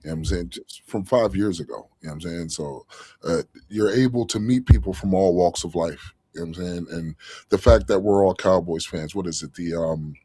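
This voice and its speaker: Earnest man with dry low voice. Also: brisk, warm tone, husky, dry recording. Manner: earnest, many "you know what I'm saying" fillers. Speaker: co-host of a fan show. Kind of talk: casual podcast chat.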